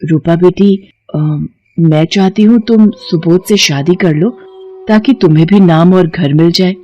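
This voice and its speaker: A woman's voice: lowish voice, a little slow, highs cut off, lightly acted. A woman voicing an older village mother, concerned and kindly, in a dramatised horror story.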